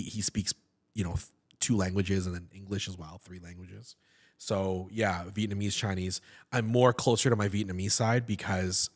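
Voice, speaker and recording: A man in his thirties chats as a podcast guest, his voice sitting a little low. He talks in an animated way, tripping over repeated words and restarting his sentences. The sound is close and clean, from a studio mic on a boom stand. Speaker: podcast guest, actor and comedian. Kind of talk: casual interview chat.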